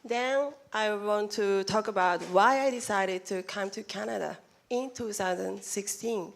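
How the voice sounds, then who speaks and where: Japanese lady giving a talk, slow and halting, stops and restarts a lot. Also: mid-range voice, gravelly. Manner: halting, careful non-native phrasing with restarts. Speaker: conference speaker. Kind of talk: presentation talk. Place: conference room.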